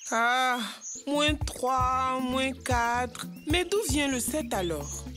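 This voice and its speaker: A kids' cartoon jungle with music underneath, where a naive monkey acted by a woman puzzles aloud in a slow, drawn-out, excitable way. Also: high voice, full character voice. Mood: confused. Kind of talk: dubbed educational cartoon acting. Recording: music behind the voice.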